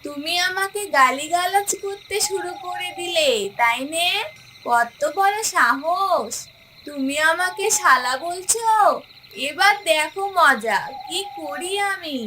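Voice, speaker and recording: Cartoon fox bride: young, whiny, scolding, slow-paced delivery with extremely high pitch. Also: female voice, sharp tone, a little hiss or hum, full character voice, low-quality recording. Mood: indignant. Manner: indignant, whining, mishears and scolds. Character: hard-of-hearing, quarrelsome young fox bride, easily offended. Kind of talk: exaggerated cartoon voice acting.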